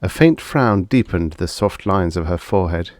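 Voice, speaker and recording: A man's voice: low voice, lively intonation, moderate pace, dry recording, clean studio sound. A man narrating scripted fiction as an audiobook reader, telling the story expressively.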